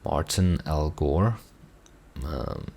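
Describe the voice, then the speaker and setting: Hoarse, very deep gravelly man. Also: very slow, dark tone. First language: Afrikaans. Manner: reflective, hesitant, many restarts. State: hoarse, strained voice. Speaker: musician reacting to songs. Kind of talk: casual reaction commentary.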